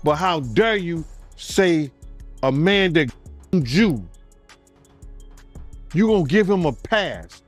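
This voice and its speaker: A man giving emphatic, casual commentary in a halting, deliberate manner. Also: fairly high pitch, animated. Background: music.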